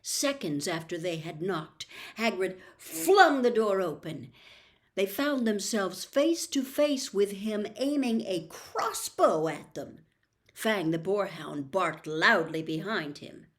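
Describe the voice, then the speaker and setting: A woman reading a children's novel aloud as an expressive storybook narrator. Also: medium pitch, slow. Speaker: storybook reader.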